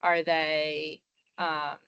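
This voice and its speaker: A woman's voice: low voice, flat delivery, very slow, sharp tone, clear, resonant tone, dry recording, lo-fi sound. Woman asking a thoughtful question. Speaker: meeting participant.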